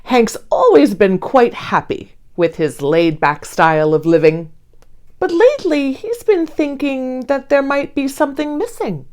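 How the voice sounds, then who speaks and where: A woman reading a children's picture book aloud expressively, with deliberate storyteller phrasing. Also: on the high side, a little mellow. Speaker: read-aloud host.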